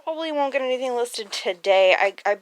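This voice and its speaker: A woman's voice: fairly high pitch, lively intonation, brisk. A woman chatting casually and informally as a reseller vlogger, with mild slang.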